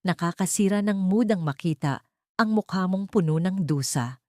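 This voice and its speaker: A woman's voice: on the low side, moderate pace, dark tone, dry recording, close to an everyday voice. A contemptuous, icy husband from a melodrama, put on by a female narrator. He talks down with cold disdain, close and dry. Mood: cold, annoyed. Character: cold, contemptuous drinking husband, adult man.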